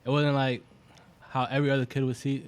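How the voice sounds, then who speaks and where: A man in his twenties from Boston, a guest talking in a relaxed, rambling way with lots of fillers. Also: medium pitch, fairly quick, clean tone. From Boston, USA (born in Venezuela). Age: 20s. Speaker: guest, clothing brand founder. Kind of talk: casual interview chat.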